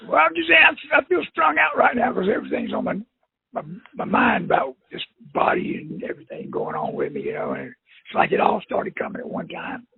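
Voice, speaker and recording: A man's voice: very high voice, huge pitch swings, a little fast, gravelly, a little hiss or hum. A man in his sixties, talking casually and at length on a phone call, rambling and chuckling. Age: 60s. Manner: rambling, chuckling, emphatic complaints. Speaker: insurance customer on the phone. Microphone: telephone line. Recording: tinny phone line.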